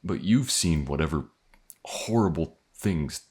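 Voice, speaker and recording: Man playing a gruff protective mercenary, bottomless low voice, husky, dry halting delivery, fantasy audio drama. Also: lively intonation, a little mellow, exaggerated voice. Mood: gruff, hesitant. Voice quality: very deep gravelly husky. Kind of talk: fantasy audio roleplay acting.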